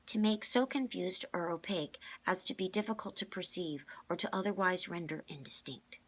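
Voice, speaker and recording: Anxious, bookish storybook boy, played by a woman: precise, raised pitch, breathy. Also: normal speed. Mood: reciting. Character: nervous, bookish boy with a dictionary memory.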